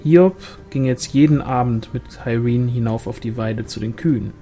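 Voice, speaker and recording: A man's voice: medium pitch, normal speed, warm tone, faint music behind. A man reading a novel aloud as scripted narration, in the manner of an audiobook. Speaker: audiobook reader.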